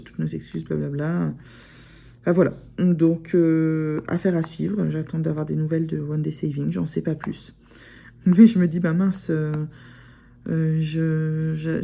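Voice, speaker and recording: A woman's voice: deep voice, vocal fry, dark tone. A woman with a northern French accent chatting casually in a vlog, rambling with many fillers and restarts. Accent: Picard (Ch'ti), northern France. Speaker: sewing vlogger. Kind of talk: casual chat to camera.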